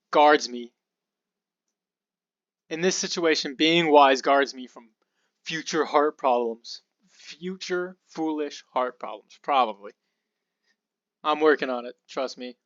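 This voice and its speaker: A young man with a slightly hoarse voice hosts a podcast, talking through a Bible passage in a relaxed, rambling way. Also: a little high, slow, long pauses, dry recording, dull audio. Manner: relaxed and rambling, with fillers and asides. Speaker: podcast host. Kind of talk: casual Bible study talk.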